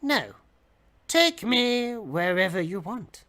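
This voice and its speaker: A man's voice: high voice, sing-song, sharp tone, pitched above the performer's own voice, full character voice. A man voicing a nervous, hesitant male passenger in a storybook reading, stammering with a trembling voice. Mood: anxious. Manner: stammering, halting.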